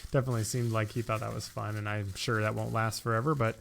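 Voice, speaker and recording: A man hosting a podcast in a casual, conversational way, speaking in a halting manner as he goes. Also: lowish voice, little pitch movement, creaky, a bit bright, a little hiss or hum. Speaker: podcast host.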